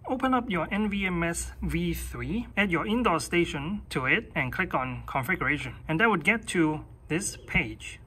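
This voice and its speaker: Higher-pitched, husky man giving a tutorial. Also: fairly bright tone. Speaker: tutorial presenter. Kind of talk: hands-on tech tutorial, casual step-by-step explanation.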